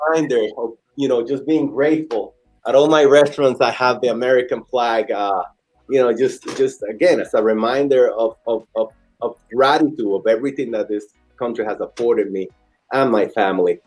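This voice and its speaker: A man around forty from the Dominican Republic with a Dominican Spanish accent, telling his story as an interview guest in a halting, earnest, repetitive way. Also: medium pitch, a little mellow, faint music behind, low-quality recording. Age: late 30s to 40. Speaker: interview guest, restaurant owner. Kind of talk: conversational personal storytelling.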